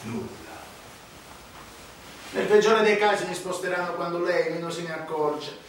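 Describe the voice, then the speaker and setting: An actor playing an arrogant, unrepentant Sicilian mafioso, delivering a solemn, declamatory stage monologue with dramatic pauses. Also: male voice, sits high, fairly even intonation, slight background noise. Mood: arrogant, menacing. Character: proud unrepentant Sicilian male mafioso confessing, arrogant, self-righteous. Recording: echoey distant stage recording with music. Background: music.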